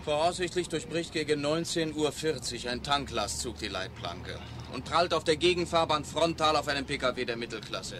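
A man's voice: on the high side, normal speed, hardly any pauses, close to an everyday voice. An actor playing a matter-of-fact male clairvoyant in a radio play, speaking precisely. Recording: clear traffic and vehicle noise behind.

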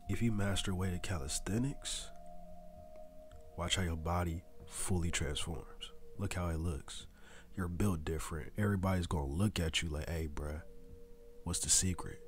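Deep-voiced man giving casual fitness pep talk. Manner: casual slangy coaching, addresses viewers directly. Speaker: fitness vlogger. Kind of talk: motivational voice-over.

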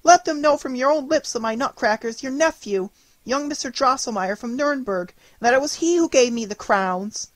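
A reader voicing Marie, an earnest, excitable young girl who pleads to be believed. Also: medium pitch, moderate pace, no room sound, pitched above the performer's own voice, natural voice. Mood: pleading. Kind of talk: audiobook character reading.

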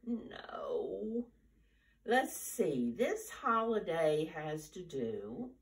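An older American woman in her seventies or beyond talks and reads to preschoolers at storytime, in simple, deliberate, teacher-like phrases, recorded from a few steps away in a living room. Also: fairly low pitch, big pitch swings, far from the mic. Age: 70s or older. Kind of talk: storytime talk and picture-book reading for young children. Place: living room at home, indoors. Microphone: camera a few steps away.

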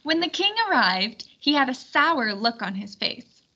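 A woman reading a children's storybook aloud in an expressive, clearly instructional way. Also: fairly high pitch, measured pace, dull audio. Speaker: storybook reader.

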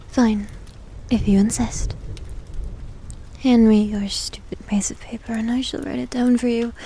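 A needy goth demon girl, played by a woman for an ASMR roleplay, whispers softly in a slightly high, dark and velvety voice. She takes her time, coy and teasing, with a breathy delivery. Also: poor audio. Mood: playful, bargaining.

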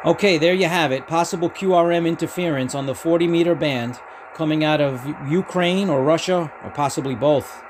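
Higher-pitched man over clear background noise. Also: a little fast. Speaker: host of a ham radio channel. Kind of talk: casual video narration.

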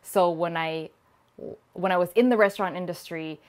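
A woman's voice: fairly low pitch, normal speed. Chatty woman in her thirties from Thailand. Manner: lively, talks with her hands, laughs often. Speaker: cooking channel host. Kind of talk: casual Q&A vlog. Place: home kitchen, indoors. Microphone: small lapel mic.

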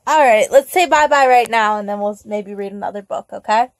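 A woman's voice: mid-range voice, fairly quick, no echo. A woman chatting casually with a toddler, prompting with simple questions and coaxing as a parent does. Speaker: parent filming a child. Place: small home bedroom, indoors.

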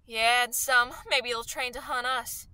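A woman's voice: a little high, fairly even intonation, a little slow, sharp tone, clear, resonant tone, dry recording. A woman playing a fervent, scheming artilleryman survivor in a radio play, talking in an insistent, slangy, folksy way. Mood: scornful, bitter.

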